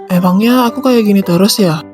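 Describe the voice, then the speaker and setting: A woman's voice: mid-range voice, fairly quick, a little mellow, distant mic, natural voice. A woman voicing a young husband and father in a fan audio drama. He is confused and grieving, brooding and full of questions in casual slang. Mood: tender. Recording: roomy echo with music underneath.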